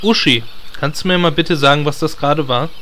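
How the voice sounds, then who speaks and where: A man's voice: a little high, fairly quick, sharp tone, slight background noise. A man playing a sensible, sarcastic adventurer who complains dryly, in a comic radio play. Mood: reproachful. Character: exasperated, sensible, sarcastic male adventurer, party straight man.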